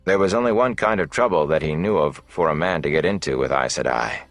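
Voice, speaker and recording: A man narrating a fantasy novel as an audiobook in a measured, dramatic way. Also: very deep voice, no room sound. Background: faint music.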